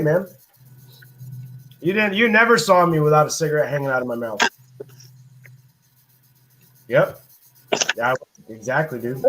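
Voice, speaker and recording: A man in his late thirties chatting casually from his living room, laid-back and quick to laugh. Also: on the high side, noisy background. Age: 30s. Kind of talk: casual chat.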